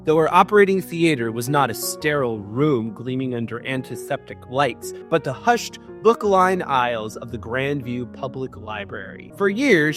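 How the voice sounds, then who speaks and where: A man with a somewhat high voice performs a theatrical reading as a comedy host. He shifts between mock-solemn narration and dry, sarcastic commentary. Music plays softly behind his voice. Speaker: host, writing coach. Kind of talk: comedic dramatic book reading with dry asides.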